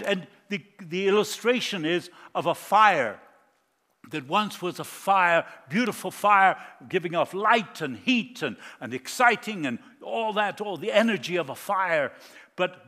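A man's voice: high-pitched, bright, forceful tone, in a large hall. A man from Argentina preaching a sermon as a guest, mixing jokes and personal stories into his message. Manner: jokey, anecdotal.

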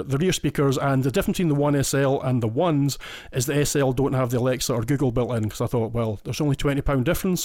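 A man from Scotland with a Scottish accent, chatting as a podcast guest. He goes into eager detail and keeps restarting his phrases. His voice sits in a middle pitch and sounds dry and close, with no room around it. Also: darkish tone, no echo. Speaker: listener guest. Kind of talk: casual podcast chat.

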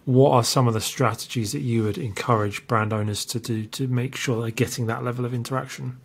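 A man's voice: mid-range voice, fairly even intonation, fairly quick, gravelly, slightly rough. A man hosting a podcast, asking questions in a conversational interview style. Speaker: podcast host.

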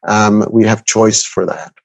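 A man in his fifties from Switzerland speaking as an expert guest in an interview, reflective and halting, repeating phrases for emphasis. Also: fairly low pitch, little pitch movement, gravelly. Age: 50s. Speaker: guest expert, astrologer and coach. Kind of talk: interview conversation.

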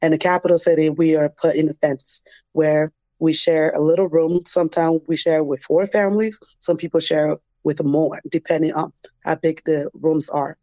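A woman's voice: low voice, little pitch movement, moderate pace, dark tone, dry recording. A young adult woman, telling personal stories in a reflective, conversational interview style. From Liberian, grew up in a refugee camp in West Africa. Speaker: interview guest, dance program founder.

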